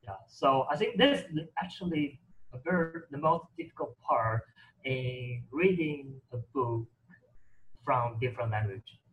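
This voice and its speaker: A man's voice: mid-range voice, expressive, measured pace, dull audio, a little hiss or hum. A man whose first language is Mandarin, talking casually in English with many fillers and restarts. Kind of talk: casual conversation about reading.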